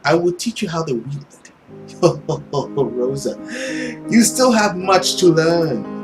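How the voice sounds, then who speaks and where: Man acting gloating ancient villain, visual novel, highish swooping voice, theatrical, arrogant, drawn-out words, music underneath. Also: expressive. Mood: grandiose, persuasive. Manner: theatrical, arrogant, drawn-out delivery with sudden emphasis. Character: malicious, mischievous centuries-old male love-feeder, a gloating villain.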